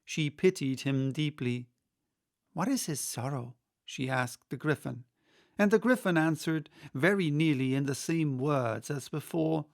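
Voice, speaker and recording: A man narrating a storybook in a measured, slow way. His voice sits a little high for a man and carries a clear creak as he reads the scripted text. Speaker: audiobook reader.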